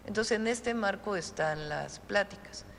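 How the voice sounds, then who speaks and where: A Mexican woman holding a press conference, answering questions in a measured, deliberate way with frequent pauses. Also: mid-range voice, gravelly, fairly bright tone, long reverb tail, a little hiss or hum.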